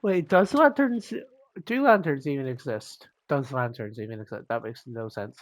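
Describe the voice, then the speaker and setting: A man with a cold, sounding croaky and sniffly, rambling casually as he comments on his own gameplay, with self-mocking asides. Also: mid-range voice, lively intonation, normal speed, dry recording. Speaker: gaming channel host.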